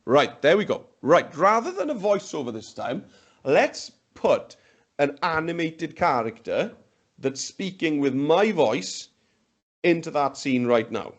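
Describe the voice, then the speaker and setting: Upbeat man demoing software. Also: a little high, very animated, a little slow. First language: Welsh. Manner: upbeat, chatty, thinks aloud. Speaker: webinar presenter. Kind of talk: live software demonstration. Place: indoor room, on a video call.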